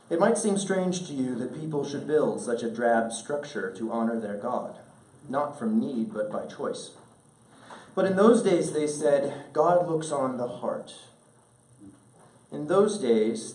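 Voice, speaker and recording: Guy reading a solemn letter out loud, soft dark voice, echoey room and kinda far from the mic. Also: mid-range voice. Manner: measured, earnest, oratorical delivery with deliberate pauses. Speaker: speaker reading a letter aloud at an event. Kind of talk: solemn literary letter read aloud to an audience. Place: indoor event room. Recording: roomy, distant pickup in an echoing room.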